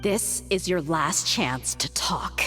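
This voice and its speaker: A tough mercenary in a video game, stern and commanding. Her voice sits a bit low. Quiet music plays behind. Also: expressive, slow pace.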